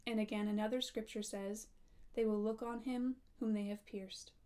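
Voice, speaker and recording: A woman reading scripture aloud, measured and phrase by phrase. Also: medium pitch, steady tone, warm tone, a little hiss or hum. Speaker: scripture reader.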